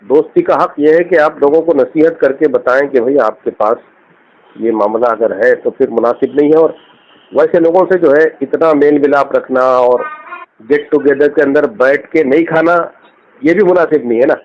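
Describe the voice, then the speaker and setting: Muffled phone voice, advising cleric. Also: male voice, on the high side, normal speed. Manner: explanatory, instructive. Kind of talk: conversational religious advice. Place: on a phone call. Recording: dull lo-fi phone recording.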